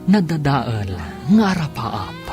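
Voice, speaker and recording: A man narrates a radio serial in a dramatic announcer's style. His mid-range voice moves expressively up and down, with music playing clearly behind him. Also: mid-range voice, big pitch swings, slow. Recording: music bed under the narration. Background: prominent theme music.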